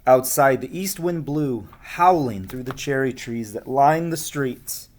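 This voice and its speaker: A man reading a storybook aloud to young listeners, expressive, with casual asides spoken straight to them. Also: medium pitch, unhurried.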